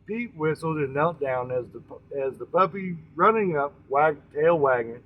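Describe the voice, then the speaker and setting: A man with a somewhat high voice tells a story in a homespun, informal style. He talks straight to his listeners, often stumbling and correcting himself as he goes. The recording is dull and lo-fi. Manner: halting, often repeats and corrects words; folksy, addresses listeners directly. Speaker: storytelling host.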